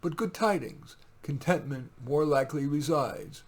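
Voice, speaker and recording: Slow, husky man reading poetry. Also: fairly high pitch. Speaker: author reading his own poems. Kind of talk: poetry reading with informal asides.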